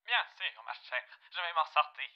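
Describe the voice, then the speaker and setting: A man's voice: fairly high pitch, animated, moderate pace, lo-fi sound. A man voicing a proud, prickly demon with formal, theatrical speech through an old radio filter in a French fan comic dub. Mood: polite.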